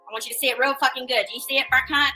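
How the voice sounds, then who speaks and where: High, fast, profane ranting woman. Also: fairly even intonation, bright, forceful tone, soft background music. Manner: profane, taunting, aggressive. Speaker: online creator in a played clip. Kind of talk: confrontational rant.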